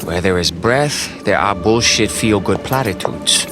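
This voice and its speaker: An actor playing a cocky, foul-mouthed warrior for hire in a video game, sarcastic and flippant in manner. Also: male voice, low-pitched, lively intonation, unhurried, music in the background. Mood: dry irritation. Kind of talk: video game action-comedy acting.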